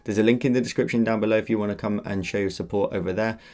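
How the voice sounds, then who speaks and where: Man, deep voice, slightly croaky; patient tutorial host guiding beginners. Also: fairly even intonation, racing, darkish tone. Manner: patient, instructive walkthrough. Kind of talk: step-by-step drawing tutorial.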